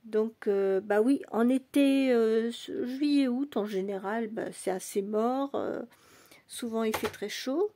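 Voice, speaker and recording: A woman who grew up in England, chatting casually in a home vlog. She speaks slowly and softly, with a mellow, warm tone and a slightly high voice. She moves between English and French. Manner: soft, unhurried, switches between English and French. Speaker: doll collector vlogger. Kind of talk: casual bilingual vlog chat.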